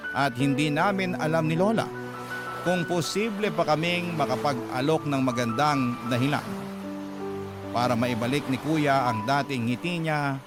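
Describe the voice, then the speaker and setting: A man's voice: on the high side, moderate pace. A man with a Filipino accent, narrating a scripted first-person story as a radio drama host. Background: clear music bed.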